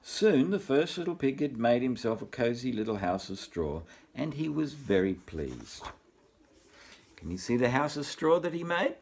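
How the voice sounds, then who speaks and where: An older man reads a picture book to young children like a grandfather. His voice is husky and rises and falls a great deal, with expressive asides put straight to the little listeners. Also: mid-range voice, moderate pace. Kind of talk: storybook reading with asides to children.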